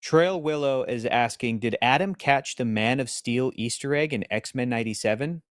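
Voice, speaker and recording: A man's voice: medium pitch, normal speed, no echo. Playful man reading chat, dry mic. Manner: playful, teasing, reads viewer comments aloud. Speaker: podcast co-host reading chat comments. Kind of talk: casual live-stream chat.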